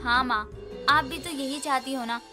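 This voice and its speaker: High-voiced coaxing young daughter played by a woman. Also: steady tone, normal speed, over music, close to an everyday voice. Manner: pleading, persuasive. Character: young daughter, affectionate and coaxing, in Hindi cartoon. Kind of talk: animated moral story acting.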